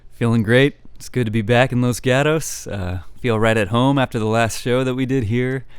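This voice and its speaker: A young man with a resonant baritone voice talks casually as a guest. He rambles in a relaxed way, pausing with fillers. The sound is dry and close, with no room around it. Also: clear, resonant tone, no room sound. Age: young adult. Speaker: musician guest. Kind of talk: casual interview chat.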